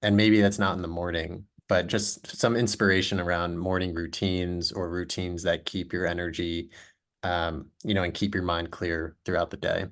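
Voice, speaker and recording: A man moderating a webinar panel, asking friendly, quick questions with many fillers over a video call. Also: low voice, level tone, fairly bright tone.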